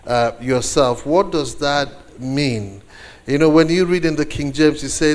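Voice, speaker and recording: Slow-paced Nigerian man preaching. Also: fairly high pitch. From eastern Nigeria. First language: Igbo. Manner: deliberate, with pauses and repeated phrases for emphasis. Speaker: guest preacher. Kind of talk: sermon to a congregation.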